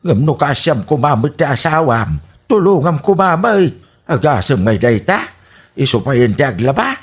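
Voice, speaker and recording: A man playing a disapproving, nagging older father in an Ilocano radio comedy drama, husky, with an Ilocano accent. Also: medium pitch, big pitch swings, moderate pace.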